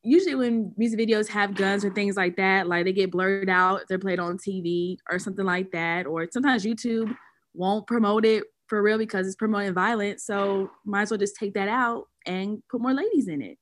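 A woman co-hosting a casual music podcast, relaxed, agreeing and adding details. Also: medium pitch, a little fast. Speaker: podcast co-host. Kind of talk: casual pop-culture podcast chat.

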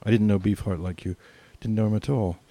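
An older British man with a low, gravelly voice tells personal stories in podcast conversation. He leans on repeated phrases for emphasis, and the recording is close and dry. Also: fairly even intonation, a little fast, warm tone, no echo. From Britain. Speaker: podcast co-host.

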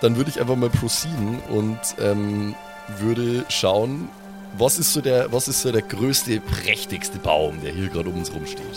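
Low-pitched man at a game table. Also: measured pace, music in the background. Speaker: player in an improvised pen-and-paper audio play. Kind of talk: casual improvised game table chat.

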